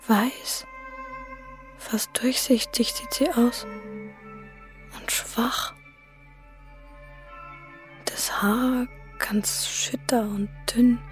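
An actress playing a young, downtrodden, observant woman in a radio play, speaking in a hushed, intimate, breathy manner. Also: on the high side, steady tone, whispered, soft background music. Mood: hushed dismay. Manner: hushed, slow, breathy delivery. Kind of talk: German radio play, intimate inner monologue.

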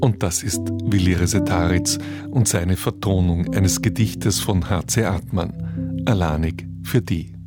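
A man's voice: low-pitched, measured pace, over loud music. A man reading a scripted programme announcement on the radio.

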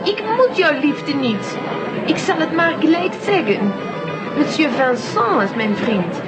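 A proud café owner in a children's radio play. She speaks slowly and high with sweeping, mocking emphasis, over loud music and crowd noise in a slightly dull recording. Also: lively intonation. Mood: scornful. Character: haughty, self-assured, scornful café proprietress. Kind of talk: children's audio drama acting.

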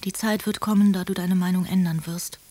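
Actress as a mellow-voiced, anxious penitent woman. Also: fairly low pitch, fairly even intonation, a little fast, a little hiss or hum, natural voice. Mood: humble, wounded. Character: anxious, pleading, submissive mother and devoted penitent friend. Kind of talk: German radio drama, letters read in character.

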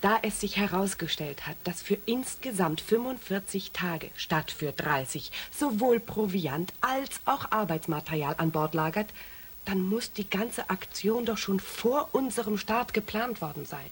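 A woman playing a logical, level-headed astronaut researcher in a radio play, reasoning deliberately. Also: mid-range voice, animated, breathy edge. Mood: analytical. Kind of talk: German science fiction radio play.

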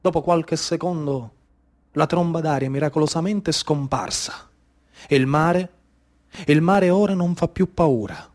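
A high-voiced man with a Sicilian accent performs a stage monologue in the manner of an oral storyteller. His delivery is rhythmic and incantatory, built on repetition and dramatic pauses. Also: animated, a little mellow. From Sicily, Trapani area. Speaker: actor and storyteller.

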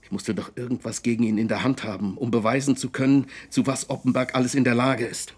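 An actor playing a defensive, blustering film producer under questioning, with a husky voice, in a radio drama. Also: male voice, mid-range voice, flat delivery, quick pace, natural voice. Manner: aggrieved, blustering.

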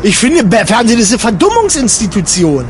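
A man's voice: high-pitched, very animated, fairly quick, slight background noise. A man playing a flippant, mock-naive travel companion in a radio play, teasing in colloquial banter. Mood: wry. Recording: busy crowd and travel sounds behind.

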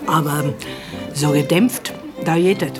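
A woman's voice: deep voice, measured pace. Gossipy old widow in a radio drama, rambling, smug, confiding, music playing behind her. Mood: confiding, self-satisfied. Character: stingy, gossipy older widow, self-satisfied. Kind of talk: literary radio play acting.

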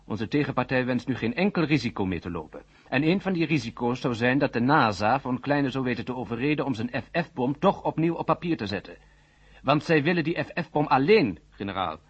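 A man's voice: mid-range voice, expressive, fairly quick, breathy edge. An actor in a Dutch radio drama playing a wary, calculating male scientist who talks in a hesitant, polite way. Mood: wary, explaining. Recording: muffled old radio recording.

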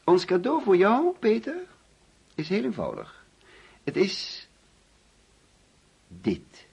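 An actor playing an overbearing, jovial middle-aged father in a Dutch radio play, theatrical and teasing, with deliberate pauses. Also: high voice, wildly swooping pitch, creaky, a little mellow, husky, lo-fi sound, full character voice. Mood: teasing, grandiose. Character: overbearing, jovial father hosting an uneasy birthday party.

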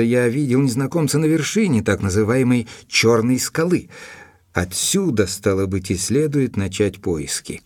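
An actor playing an earnest doctor who narrates his investigation in an audio drama, with a measured, probing delivery. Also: medium pitch, darkish tone, slightly rough, dry recording, clean studio sound. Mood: determined.

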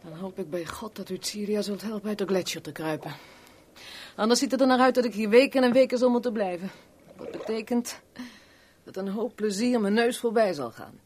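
A woman's voice: mid-range voice, brisk, darkish tone, breathy edge, close to an everyday voice. An actress playing a worldly, sardonic kept woman in a 1970s radio play, with a wry, teasing, blunt way of talking. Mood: teasing, probing.

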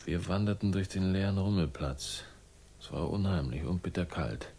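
A man's voice: low-pitched, normal speed, vocal fry, warm tone, a little hiss or hum. An actor playing a world-weary, sardonic man with a troubled past, speaking tersely in a radio crime drama. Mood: dreamy, uneasy. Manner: terse, fragmented, wry. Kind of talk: 1960s German radio crime drama acting.

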